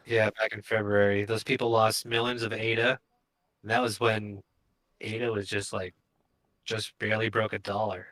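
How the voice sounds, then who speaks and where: A man's voice: on the low side, little pitch movement, moderate pace, a bit bright, poor audio. A man co-hosting a show, talking in casual podcast conversation. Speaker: co-host of a cryptocurrency show.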